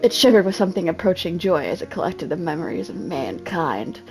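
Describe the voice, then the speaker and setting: A woman's voice: fairly low pitch, big pitch swings, fairly quick, darkish tone, faint music behind. A woman reading a game's story aloud in a dramatic style, often breaking off into casual, self-deprecating comments.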